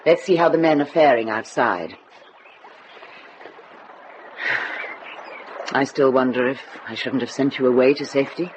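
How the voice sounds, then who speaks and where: An actress playing a commanding older nun in a radio drama, brisk and dryly wry, kindly underneath. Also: female voice, rumbling low. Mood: wry, steady. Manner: brisk, dry, authoritative. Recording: noisy ambience behind dialogue.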